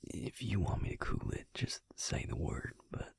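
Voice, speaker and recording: A man playing an affectionate, laid-back vampire mate in an intimate roleplay audio, speaking in a breathy, gravelly murmur with tender, hesitant pauses. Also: a little mellow, dry recording, in a whisper, lightly acted. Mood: gentle, careful.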